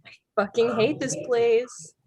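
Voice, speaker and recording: A woman's voice: a little high, steady tone, a little slow, mellow, slight background noise, natural voice. A woman playing a bitter, combative young woman in a treatment group in a staged play reading, blunt, sarcastic and profane. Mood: sardonic.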